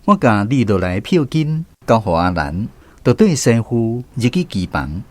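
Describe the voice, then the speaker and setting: A man's voice: lowish voice, big pitch swings, a little slow, a little mellow. A man playing a grown storyteller reflecting on his boyhood, narrating a radio drama with a Taiwanese accent. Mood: reflective.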